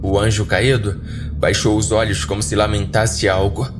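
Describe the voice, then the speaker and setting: A man's voice: lowish voice, level tone, normal speed. A man with a Brazilian accent narrating a scripted horror story dramatically for a podcast. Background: background music.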